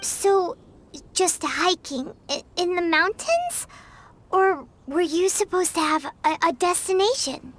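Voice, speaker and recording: A woman's voice: extremely high pitch, big pitch swings, slow pace, a bit bright, heavily acted. A woman voicing a tiny, chatty fairy companion in a video game, eager and talkative. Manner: chatty, eager, refers to herself by name. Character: tiny chirpy floating fairy companion, female.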